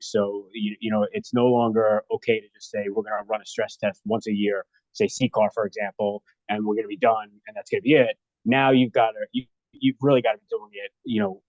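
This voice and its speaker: Low-voiced man, dry and slightly dull audio. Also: no room sound. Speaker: guest, risk consultant and former regulator. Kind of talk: explanatory interview talk. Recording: dull, band-limited call audio.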